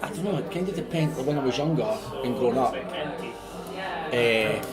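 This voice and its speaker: A man's voice: mid-range voice, lively intonation, moderate pace. A man reflects casually on home, hesitant and searching for words. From Scotland. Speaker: interviewee. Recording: loud music over the voice.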